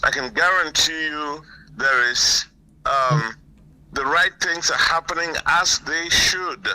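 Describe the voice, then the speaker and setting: A man giving earnest, deliberate political commentary on a call, repeating phrases for emphasis. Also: on the high side, sharp tone, low-quality recording. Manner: halting, deliberate, emphatic repetition. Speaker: guest caller, political commentator. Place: remote call.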